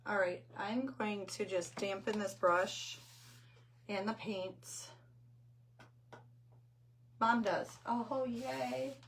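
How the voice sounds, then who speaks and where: Older woman, craft host, hoarse and squeaky, rambling chat, medium pitch, noisy home room. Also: drawn-out words, long pauses. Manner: chatty, rambling, reads viewer comments aloud. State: hoarse, losing her voice. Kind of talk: casual livestream chat while painting.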